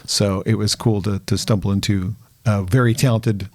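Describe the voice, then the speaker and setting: A middle-aged man, hosting a casual interview in an informal, halting, complimentary way. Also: lowish voice, steady tone, a little mellow. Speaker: interviewer, host.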